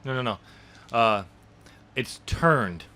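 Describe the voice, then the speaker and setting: Author guy hosting a chat podcast, bright sharp voice, laughs at himself a lot, stumbly. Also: medium pitch, expressive, slow. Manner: self-deprecating, laughs often, stumbles and restarts. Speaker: podcast host and author of the novel.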